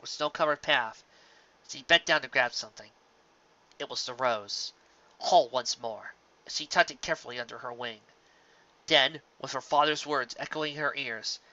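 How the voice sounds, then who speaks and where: Male story narrator, intense forceful tone, dramatic scripted delivery, emphatic phrasing, slightly muffled recording. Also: medium pitch.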